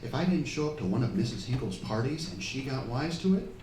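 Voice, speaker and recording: A wry young sweetheart played by a man in an old-time radio play, his voice swinging in pitch as he grumbles and woos. He is heard from far off in an echoey hall. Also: lively intonation, a little fast, darkish tone, natural voice. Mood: wry, grumbling. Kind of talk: old-time radio drama acting on stage. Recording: distant, echoey hall stage recording, faint noise.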